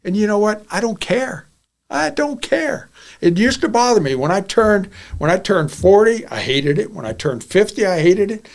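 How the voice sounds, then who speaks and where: A man's voice: high-pitched, sing-song, moderate pace, creaky. A man in his seventies hosting a podcast, talking candidly and bluntly, often repeating himself as he goes. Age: 70s. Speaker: podcast host. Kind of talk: casual podcast monologue.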